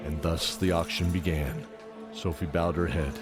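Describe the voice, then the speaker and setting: Man, fantasy narrator, scripted delivery, very deep voice, loud backing music. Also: fairly even intonation, moderate pace.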